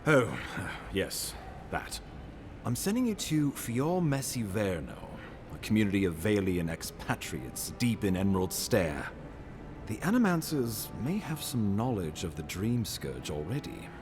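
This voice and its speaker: Low-voiced, expressive, fretful imperial ambassador. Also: male voice, unhurried, over music. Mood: resigned, brisk. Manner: formal, theatrical, exasperated. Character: beleaguered male imperial ambassador, formal, fretful and resentful. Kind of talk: video game character acting.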